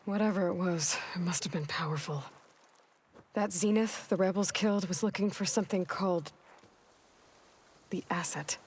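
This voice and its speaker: A woman's voice: fairly low pitch, moderate pace, pauses often, slight background noise, natural voice. An actress playing a determined young female warrior leader in a video game, speaking decisively in short, plain instructions. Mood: pensive, serious. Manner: decisive, clipped orders. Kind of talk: video game cutscene acting.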